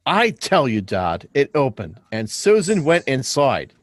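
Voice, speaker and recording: A man's voice: medium pitch, huge pitch swings, measured pace, no echo. A man reading the part of an observant, insistent schoolboy in a radio play, with an earnest manner. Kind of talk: live radio drama script reading.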